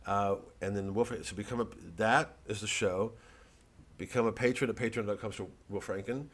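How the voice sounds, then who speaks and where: A man, a comedian, giving a rambling, casual monologue to an online audience in a halting, digressive, self-deprecating way. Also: lowish voice, steady tone, a bit bright.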